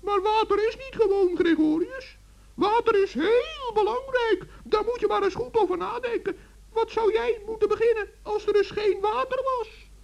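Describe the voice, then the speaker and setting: A performer voicing a small, kindly, earnest forest gnome with a creaky voice in a children's radio play. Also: very high voice, moderate pace. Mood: earnest, scolding. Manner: earnest, admonishing, polite.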